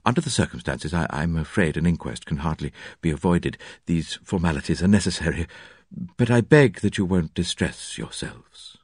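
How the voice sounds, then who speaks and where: A man voicing a brisk, formal elderly doctor in a low voice. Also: animated, a little mellow, slightly rough, no echo, clean studio sound, full character voice.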